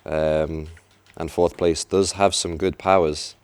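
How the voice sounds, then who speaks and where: Male esports commentator, very deep, dry sound, explains plays in a chatty way. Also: level tone, slow pace, smooth, no echo. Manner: chatty, explanatory, some restarts. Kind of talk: live game commentary. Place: event venue.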